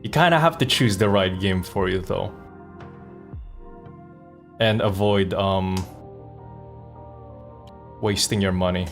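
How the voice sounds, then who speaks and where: A man's voice: deep voice, takes it slowly, pauses often. A man chatting casually on a live stream as host, slangy and wry, rambling from one thought to the next. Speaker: streamer and voice actor. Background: faint music.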